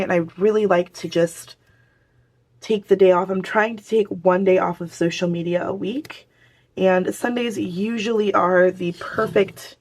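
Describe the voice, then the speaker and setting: A woman with a hoarse voice from a lingering cold, chatting casually to viewers as she decorates a planner. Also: mid-range voice, darkish tone. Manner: chatty, talks to viewers while working. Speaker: planner vlogger. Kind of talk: casual crafting chat.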